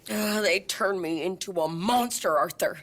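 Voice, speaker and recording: A woman's voice: medium pitch, normal speed, dry recording, close to an everyday voice. A woman playing a tough, grieving American outlaw widow with a Western accent, blunt and raw, in a dramatic video game. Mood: grieving, tender.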